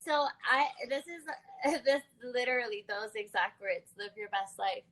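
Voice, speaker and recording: A young adult woman hosting a conversational interview podcast, animated and reflective, laughing often. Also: on the high side, unhurried, crowd noise, rough recording. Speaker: podcast host.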